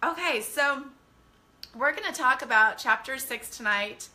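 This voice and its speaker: A woman's voice: high-pitched, lively intonation, measured pace, sharp tone. A woman hosting a casual live bedtime-reading stream as a children's author, chatting to viewers in a gushing, enthusiastic way. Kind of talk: casual livestream chat with viewers.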